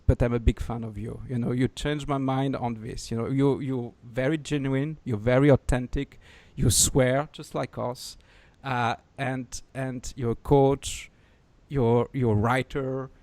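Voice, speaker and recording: A French man speaking English with a French accent, hosting a podcast in a rambling, excitable way and swearing freely. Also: mid-range voice, measured pace, no echo. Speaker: podcast host. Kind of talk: casual podcast chat.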